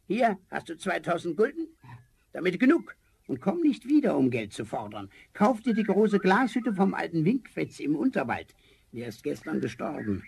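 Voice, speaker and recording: A tiny old glass spirit of the forest for a fairy-tale radio play. The voice is thin, high and cracked with creak, swooping wildly in pitch as he chides in a kindly, grandfatherly way. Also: darkish tone, heavily acted. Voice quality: thin, delicate, raspy. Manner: chuckling, chiding, grandfatherly.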